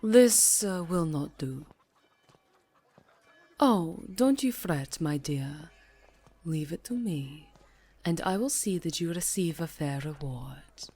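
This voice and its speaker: A haughty vampire countess, acted by a woman, speaks low and unhurried in an ASMR roleplay. Her diction is poised and formal, teasing yet commanding, like one used to wealth and power. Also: animated, dark tone, slightly rough, heavily acted. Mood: assured, indulgent.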